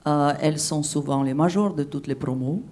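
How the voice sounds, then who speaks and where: A woman's voice: sits low, moderate pace, a little mellow. A woman from Russia, speaking French as a panelist in an emphatic, persuasive way at a conference. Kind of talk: panel discussion answer.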